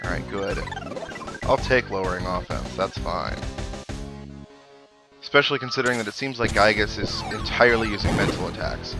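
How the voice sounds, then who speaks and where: A man's voice: fairly low pitch, normal speed, long pauses. A man giving casual video game commentary, thinking aloud with analytical asides as he plays. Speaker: let's player. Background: video game music and sound effects.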